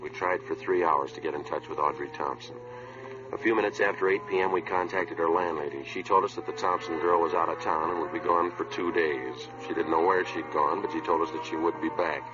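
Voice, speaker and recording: A man acting as a terse police detective in a 1950s radio drama, with a very low voice. He is clipped, matter-of-fact and businesslike, heard on a muffled old recording with music behind him. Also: fairly even intonation, fairly quick, lightly acted. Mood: flat, factual. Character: terse, businesslike male police detective narrating his cases.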